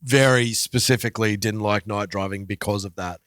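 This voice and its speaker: A man chats as a podcast co-host. His voice sits a little low and is recorded dry, with little room around it. He speaks informally, pausing and piecing thoughts together as he goes. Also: steady tone, fairly bright tone, dry recording. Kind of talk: casual podcast chat.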